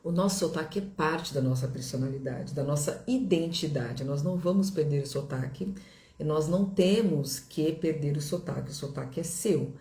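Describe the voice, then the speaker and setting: A woman's voice: low-pitched, moderate pace, warm tone. A middle-aged woman from Brazil with a Brazilian Portuguese accent, an English teacher giving a video lesson in a reassuring, repetitive way that keeps checking in with the viewer. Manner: reassuring, repeats herself, checks in with "ok?". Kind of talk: direct-to-camera video lesson. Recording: echoey room.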